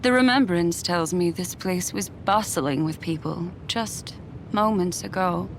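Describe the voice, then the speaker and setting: A woman's voice: lowish voice, unhurried, slight background noise. A woman voicing an observant, serious young woman in a video game, precise in her delivery. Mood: puzzled. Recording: loud music behind the voice.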